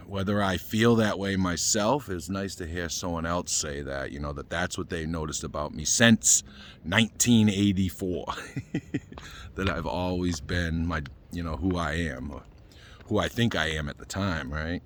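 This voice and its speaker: A man's voice: deep voice, normal speed, slight background noise. A man in his fifties from Boston with a Boston accent, rambling casually in a vlog and leaning on "you know" fillers, a little winded after a run. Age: 50s. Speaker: vlogger. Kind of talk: casual talking-head vlog.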